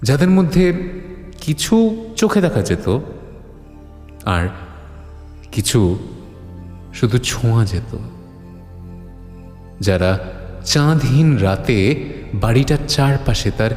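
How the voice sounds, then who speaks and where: Grave horror audiobook storyteller, slow and deliberate, eerie legends over soft music. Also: male voice, medium pitch, very animated. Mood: ominous. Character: grave man recounting eerie local legends to his skeptical friend. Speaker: narrator and lead character of a horror audio story.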